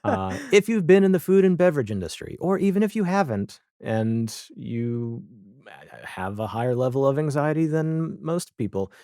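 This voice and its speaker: A man's voice: fairly high pitch, animated, moderate pace, warm tone, no room sound, studio quality. A man hosting a podcast, chatting casually about television in a candid, wry and emphatic way. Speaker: podcast host.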